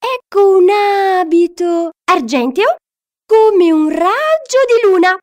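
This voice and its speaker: Woman voicing slow, showy high-pitched royal tailor. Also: animated, exaggerated voice. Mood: proud. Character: proud royal tailors presenting splendid gowns.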